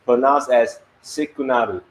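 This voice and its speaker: A man's voice: medium pitch, normal speed, roomy sound, slight background noise, rough recording. A man whose first language is Cantonese, co-hosting a casual language chat and prompting his partner. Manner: prompting, repeating words back. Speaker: co-host teaching Japanese.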